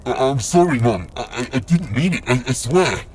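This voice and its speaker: A man's voice: medium pitch, expressive, moderate pace, a little hiss or hum, close to an everyday voice. A man playing a cowed young demon son in an audio drama, stammering and pleading. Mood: sheepish, pleading.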